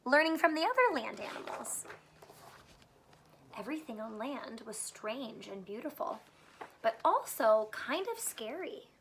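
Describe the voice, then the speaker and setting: A woman reading a children's picture book aloud like a teacher, expressive and pausing to point out details in the pictures. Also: fairly high pitch, a little hiss or hum. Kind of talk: children's story read-aloud with asides.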